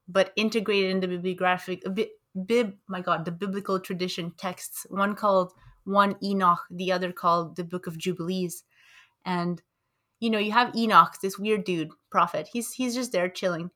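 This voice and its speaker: A woman's voice: medium pitch, fairly even intonation, normal speed, bright, forceful tone. A young woman co-hosting a podcast, playful and curious, asking probing questions in casual talk. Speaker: podcast co-host.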